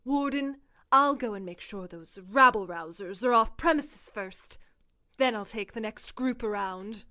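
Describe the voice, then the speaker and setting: A woman's voice: on the high side, unhurried, bright, forceful tone. A woman playing a dutiful tour guide in an audio drama, formal and deferential in manner. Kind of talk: scripted audio drama acting.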